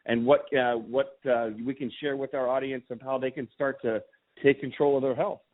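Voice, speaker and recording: A man hosts a podcast interview in a conversational way, with a mid-pitched voice. The recording is narrow and tinny, like a phone call. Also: steady tone, normal speed. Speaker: podcast host. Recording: thin phone-like sound.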